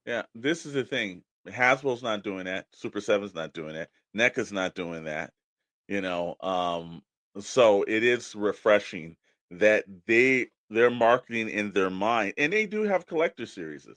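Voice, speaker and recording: A man's voice: fairly low pitch, animated, a little slow, a bit bright, dry recording. An American man co-hosting a podcast in a casual, conversational style. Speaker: podcast co-host. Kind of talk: casual toy podcast chat.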